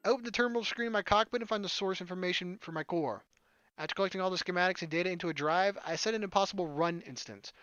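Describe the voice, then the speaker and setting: A man's voice: sits high, racing, a bit bright, no room sound, muffled recording, soft background music. A man hosting a gaming video, reading a visual novel aloud expressively and dropping in casual asides.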